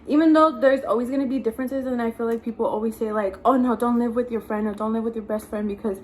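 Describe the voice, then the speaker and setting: A young woman hosts in a casual, conversational way. Her voice sits fairly high and has a little rasp, with noticeable noise behind it. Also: fairly even intonation, fast, darkish tone. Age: young adult. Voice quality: slightly raspy. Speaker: host, vlogger. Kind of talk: casual chat.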